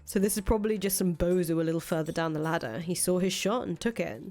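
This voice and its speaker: A woman's voice: lowish voice, fast, darkish tone, lightly acted. A woman playing a cynical, foul-mouthed ousted rebel leader in a visual novel, thinking aloud in casual, profane speech. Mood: wry, brooding. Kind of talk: acted reading of visual novel dialogue. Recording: music under the voice.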